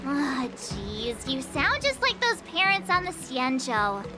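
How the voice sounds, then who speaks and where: A woman voicing a bubbly, praise-hungry young swordswoman apprentice in an anime-style game, cutesy and expressive. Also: high-pitched, slow pace, heavily acted. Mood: pouty, indignant. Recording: loud music behind.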